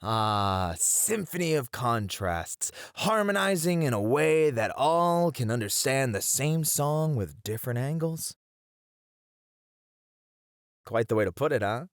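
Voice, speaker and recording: Theatrical, flirtatious male teacher played by an actor for ASMR roleplay; very animated delivery, close dry sound. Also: medium pitch, slow pace, dry recording. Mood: playful, admiring. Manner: expressive, playful, dramatic phrasing.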